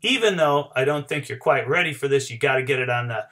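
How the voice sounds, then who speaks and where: A man's voice: medium pitch, animated, fast talker, pushed and bright. A middle-aged man explaining as an informal sports coach, emphatic and talking directly to his viewers. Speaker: barefoot waterskiing coach. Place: small cluttered indoor home office.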